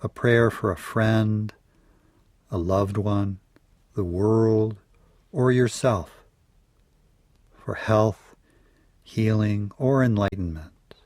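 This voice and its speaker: A man with a fairly low voice guides a meditation at a very slow pace. His delivery is soothing and measured, with phrase endings that trail off softly. The sound is dry and close. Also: dry recording. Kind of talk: guided yoga nidra meditation.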